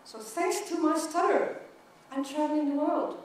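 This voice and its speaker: A woman's voice: sits high, normal speed. A middle-aged woman from Sweden with a Swedish accent, giving a conference keynote in English and stuttering with repeated words and syllables. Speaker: keynote speaker. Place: large conference hall. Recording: echoey hall, mic from far off.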